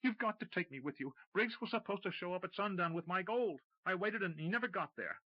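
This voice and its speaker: A man's voice: high voice, fast talker, lo-fi sound, voice pushed up. A man voicing a panicky, desperate male accountant who pleads near-hysterically. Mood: frantic pleading.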